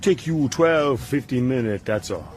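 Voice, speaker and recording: A man's voice: medium pitch, expressive, a little slow, a little mellow, close to an everyday voice. An actor playing an obliging, knowing boat crewman in a radio drama, deferential and matter-of-fact. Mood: reassuring. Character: obliging male boat crewman, casually knowing about sharks.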